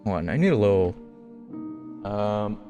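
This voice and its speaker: A man's voice: on the low side, lively intonation, unhurried, muffled recording, music in the background. A man doing a game playthrough, reading the dialogue aloud and sometimes stumbling and correcting himself.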